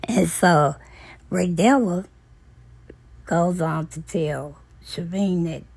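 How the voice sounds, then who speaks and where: A woman hosting a book channel, retelling a novel's plot in a relaxed, storytelling way, her voice raspy and hoarse from talking a long time. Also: on the low side, takes it slowly, dry recording. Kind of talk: casual book recap.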